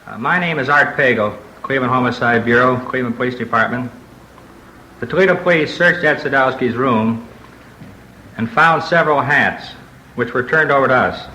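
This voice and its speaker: A man's voice: medium pitch, moderate pace, pushed and bright. A man speaking as a homicide detective, reading formal scripted testimony on 1940s radio. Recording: echoey old radio recording, faint noise.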